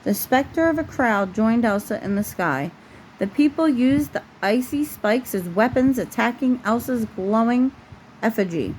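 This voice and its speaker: A woman's voice: a little high, lively intonation, measured pace, low-quality recording. A woman reading a children's storybook aloud at home, as a parent would at bedtime. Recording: faint background noise, home recording.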